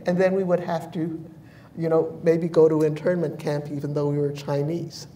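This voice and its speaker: An older woman from New Mexico on a panel, telling personal memories in a measured, thoughtful way with frequent pauses. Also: sits low, flat delivery, dark tone. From United States (New Mexico). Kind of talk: reflective personal storytelling. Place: bright large indoor room, seated in armchair. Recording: echoey room, distant mic, faint hiss.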